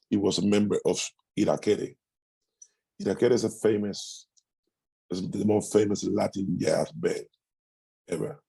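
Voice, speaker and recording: Middle-aged Cuban man, Spanish speaker, gravelly and husky, halting answers. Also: on the low side, dark tone, dry recording, rough recording. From Cuba. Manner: halting, with frequent restarts and fillers. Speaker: musician guest. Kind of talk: interview answers.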